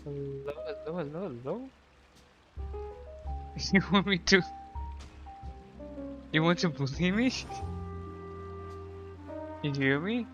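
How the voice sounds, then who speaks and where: A woman livestreaming a game, chatting casually with viewers in a halting way and repeating her phrases. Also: sits low, expressive, lo-fi sound. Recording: faint game music behind. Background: game music and dialogue.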